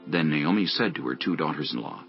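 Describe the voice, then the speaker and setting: A man's voice: very deep voice, expressive, brisk. A man narrating scripture from a script as a storyteller between the dialogue. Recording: dull lo-fi audio with music bed.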